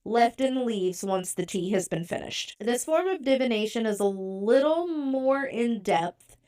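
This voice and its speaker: A woman's voice: on the high side, animated, a little slow, darkish tone, clear, resonant tone. A woman co-hosting a podcast, born in Europe and raised in the United States, talking in a chatty, candid, self-deprecating way. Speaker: podcast co-host. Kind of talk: casual podcast talk.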